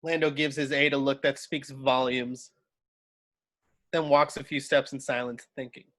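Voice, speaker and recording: A man's voice: mid-range voice, flat delivery, normal speed, fairly bright tone. A man narrating screenplay stage directions in an amateur table read, sometimes stumbling and rereading. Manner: stumbles over words and rereads.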